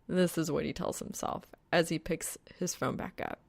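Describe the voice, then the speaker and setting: A woman narrates a story in a low voice with noticeable fry. The sound is close and dry, like a homemade audiobook of fan fiction. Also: moderate pace, a little mellow, no room sound.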